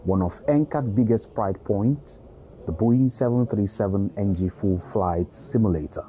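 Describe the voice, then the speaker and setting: A male reporter narrates a scripted news feature in a Nigerian accent. His voice is low and very dark, with faint ambient noise behind it. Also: a little slow.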